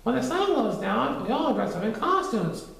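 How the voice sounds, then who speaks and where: Man as a kindly storybook boy, high-pitched, eager, gentle, strong room echo. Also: moderate pace, creaky, full character voice. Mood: excited. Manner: excited, gentle. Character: eager, kindly young boy explaining things.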